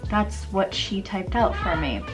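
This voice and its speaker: A woman's voice: mid-range voice, animated, a little slow, loud music behind the voice, low-quality recording. A young woman chatting upbeat and enthusiastic to a handheld camera as she cooks and fixes things around her house. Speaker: homemaking vlogger. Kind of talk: casual chat to camera. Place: home kitchen and small bathroom. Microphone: handheld camera at arm's length.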